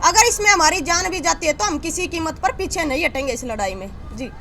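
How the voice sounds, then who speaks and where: A woman's voice: very high-pitched, animated, fairly quick, sharp tone, slight background noise. A woman speaking as an activist spokesperson, giving a statement to reporters. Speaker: activist spokesperson at a press briefing.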